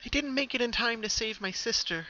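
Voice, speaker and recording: Shaken young female pony for a dark story played by a man: higher pitch, halting, soft, grieving. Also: full character voice. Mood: haunted, sorrowful. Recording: dull, lo-fi home recording.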